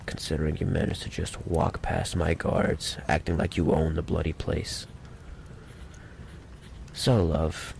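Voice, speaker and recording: A man playing a menacing, impatient mafia boss in an ASMR roleplay, taunting the listener with slow, mock-courteous threats in a gravelly voice. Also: darkish tone, a little hiss or hum, full character voice. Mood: exasperated. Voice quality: deep, gravelly. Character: menacing, possessive male mafia boss, smooth-talking and impatient.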